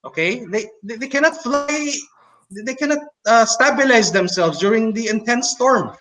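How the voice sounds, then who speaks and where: A man with a Chinese and Tagalog accent, talking casually on a podcast and pausing often with fillers and restarts. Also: extremely high pitch, lively intonation, rough recording. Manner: hesitant, many fillers and restarts, mocking laughs. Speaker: co-host.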